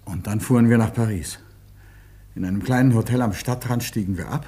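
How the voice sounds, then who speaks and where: A man acting an earnest Englishman caught in a troubled love affair in a German radio play, speaking in a measured, deliberate way. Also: fairly low pitch, warm tone, breathy edge. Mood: grave, reflective.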